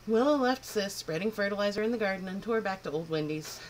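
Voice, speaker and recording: Woman storyteller, lilting read-aloud for kids, middle pitch, faint hiss behind. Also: fast, low-quality recording. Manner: expressive, lilting narration. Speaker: children's storyteller.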